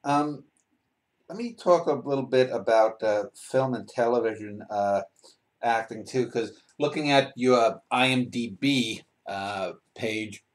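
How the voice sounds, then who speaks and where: A man's voice: medium pitch, slow. A man interviewing over a video call, asking long, rambling questions full of "uh" and "you know.". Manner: rambling, hesitant questions with fillers. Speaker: interviewer, host.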